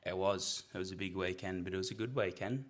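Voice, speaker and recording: A man's voice: low voice, fast. A man chatting as a podcast guest, dry and teasing, answering in short laconic lines. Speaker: guest, host's husband. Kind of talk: casual chat.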